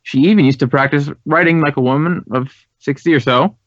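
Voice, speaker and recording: A man's voice: a little high, a little fast, no room sound, natural voice. An American man playing an earnest, talkative drama school head in a radio drama, speaking with emphatic, reflective phrasing. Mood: emphatic, admiring. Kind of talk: 1950s radio drama dialogue. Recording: dry, dull vintage radio recording.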